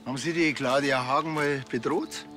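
An actor with a Bavarian accent playing a dry, laconic village policeman with a deadpan manner. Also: mid-range voice, moderate pace, faint music behind, lightly acted.

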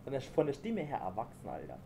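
A man's voice: mid-range voice, huge pitch swings, fast talker, creaky, mellow, slight background noise. A man around twenty-one chatting with strangers on a video call, slangy, teasing and playful. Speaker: video chat vlogger. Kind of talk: casual joking chat with strangers. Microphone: webcam or computer mic on a video call.

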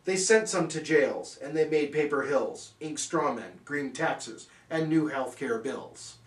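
A man's voice: fairly high pitch, measured pace, reverberant, recorded from a distance. A man reading a rhyming bedtime story parody aloud in a theatrical, singsong manner.

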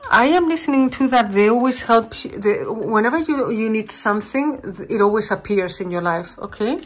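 A woman tarot reader, talking warmly and reassuringly to her viewers and sometimes searching for English words. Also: mid-range voice. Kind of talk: channeled tarot reading.

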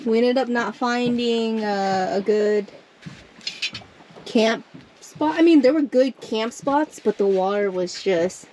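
Mellow-voiced woman vlogging on a boat. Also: on the high side, slow, slight background noise, poor audio. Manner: chatty, rambling, trails off mid-sentence. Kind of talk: casual vlog narration. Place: outdoors on a boat on a lake, under a mesh tarp.

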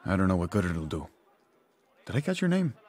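A man's voice: deep voice, big pitch swings, brisk, a little mellow. A man playing a weary, downtrodden young villager in a video game cutscene, with a resigned, sardonic way of talking.

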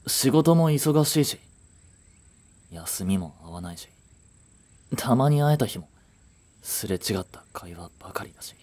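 A man performs a frustrated young boyfriend in a romantic voice drama. He has a soft-edged dark timbre with some huskiness, and his blunt, casual lines swing widely in pitch. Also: lively intonation, unhurried, long pauses. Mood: subdued, hurt. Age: young adult. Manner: blunt casual masculine speech. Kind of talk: romantic audio drama acting.

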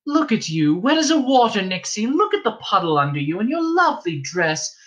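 A man's voice: high voice, very animated, fairly quick, fairly bright tone, highs cut off, voice pushed up. A man voicing a friendly, motherly old innkeeper's wife who talks in a chatty, coaxing, folksy way. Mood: fussing.

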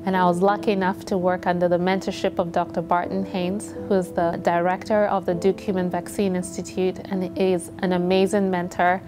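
Young woman, from Zambia, earnest interview, lowish voice, music behind. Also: flat delivery, a little fast, few pauses. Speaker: medical student researcher. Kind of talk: documentary interview.

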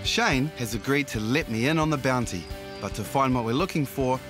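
A man's voice: medium pitch, brisk. A man in his forties with a New Zealand accent, hosting a travel cooking show and describing dishes with enthusiasm. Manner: enthusiastic, tasting and describing food. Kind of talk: presenting a travel food show. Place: outdoor kitchen and orchard. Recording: light music underneath.

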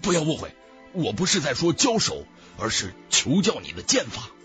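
A man's voice: a little high, wildly swooping pitch, normal speed, fairly bright tone, faint music behind. A man voicing a proud, earnest swordsman rival with solemn, formal martial-hero speech in an audiobook. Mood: earnest, respectful.

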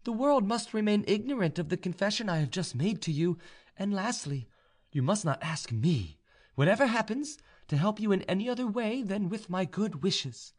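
A man voicing a proud, commanding young woman in an audiobook, speaking in a deliberate, imperious way. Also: high voice, animated, pitched above the performer's own voice. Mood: firm, commanding. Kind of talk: audiobook character dialogue.

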